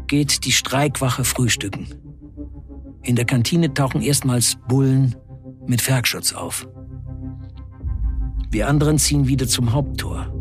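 Husky, defiant striking worker, played by a man. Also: medium pitch, dry recording, clean studio sound. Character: defiant striking 1970s factory worker, a man recounting events. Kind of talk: dramatic first-person radio play narration.

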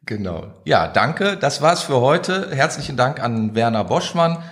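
A man's voice: mid-range voice, very animated, measured pace, fairly bright tone. A man from the Ruhr region co-hosting a podcast, talking colloquially and somewhat hesitantly. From Ruhr region, Germany. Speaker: podcast co-host, author. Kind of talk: casual podcast chat.

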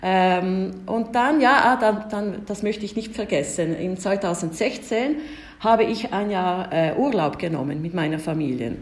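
A woman in her forties with an Italian-speaking Swiss accent, Italian being her first language, tells her story as a podcast guest. She pauses often on filler sounds yet stays lively, in a mid-pitched voice. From Ticino, Switzerland. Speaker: diplomat guest.

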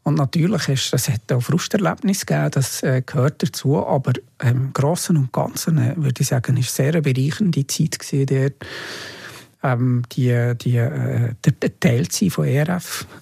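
A man with a Swiss German accent reminiscing casually as an interview guest, haltingly, repeating words as he recalls. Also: mid-range voice, gravelly, darkish tone, slightly rough, no room sound, studio quality. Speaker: interview guest, former radio team member.

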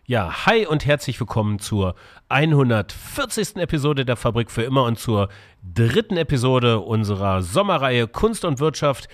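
A man's voice: mid-range voice, very animated, normal speed. A man hosting a podcast, talking informally and jokingly in a casual interview style. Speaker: podcast host.

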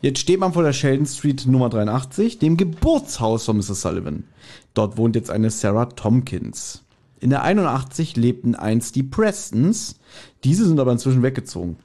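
A man's voice: mid-range voice, sing-song, normal speed, dark tone. A man hosting a podcast, casually retelling and reviewing a story. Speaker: podcast host. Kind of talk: casual chat, retelling and reviewing an audio drama.

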